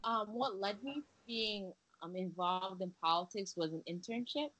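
A woman's voice: mid-range voice, normal speed, a bit bright, rough recording. A young woman from Haiti, a guest in a casual interview, talking earnestly with many fillers and restarts. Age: young adult.

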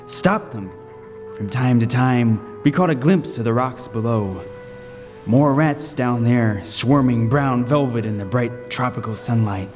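A man playing a haunted lighthouse keeper, narrating a horror tale in deliberate, dramatic radio-play style. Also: medium pitch, a little mellow, close to an everyday voice. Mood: morbid fascination. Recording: long reverb, music underneath.

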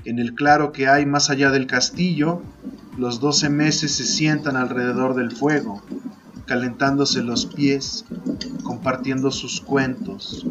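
A man narrating a literary fantasy poem as a podcast host, in a measured, deliberate storytelling style. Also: mid-range voice, muffled recording. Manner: measured, deliberate, second-person storytelling delivery. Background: music.

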